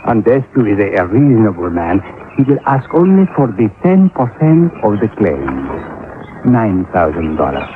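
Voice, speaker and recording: A man's voice: lowish voice, sing-song, normal speed. An actor playing an oily, falsely humble sailor with a foreign accent and florid manner, doting on his pet birds in a radio drama. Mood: wheedling, regretful. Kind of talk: 1950s radio drama acting. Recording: noisy, tinny old-time radio recording.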